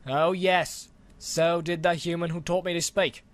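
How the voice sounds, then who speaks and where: A man voicing a big, friendly male dragon who talks in a relaxed, chummy way and calls the boy mate. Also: on the high side, measured pace, pitched above the performer's own voice, lightly acted.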